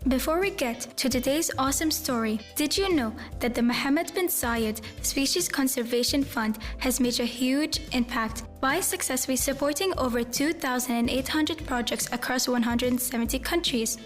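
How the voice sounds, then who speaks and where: A young woman from the UAE, reading an excited scripted part as a podcast co-host. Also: sits high, moderate pace, hardly any pauses, faint music behind.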